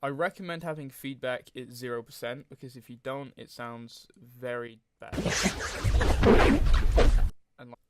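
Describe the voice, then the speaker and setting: Man giving an informal, dry-sounding software tutorial. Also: medium pitch, fairly even intonation, measured pace, dry recording. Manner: informal, hesitant, thinking aloud; frequent throat clearing. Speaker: tutorial host. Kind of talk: casual software tutorial narration.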